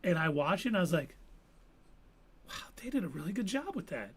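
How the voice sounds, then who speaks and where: Co-host, male, slightly higher voice, opinionated and lively. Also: fairly quick, breathy edge. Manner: opinionated, animated movie talk. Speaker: podcast co-host. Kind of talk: casual chat.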